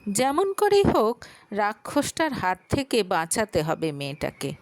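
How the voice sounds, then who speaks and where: A woman narrating a short story in a dramatic, expressive and unhurried manner. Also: medium pitch, no echo.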